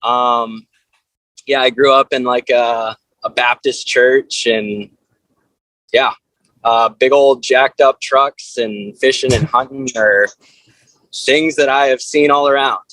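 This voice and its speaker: A man from South Carolina chatting casually as an interview guest, in a loose, halting way full of filler words. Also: medium pitch, fairly even intonation, a bit bright, dry recording, poor audio. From South Carolina, USA. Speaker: interview guest, band frontman. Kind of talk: casual chat.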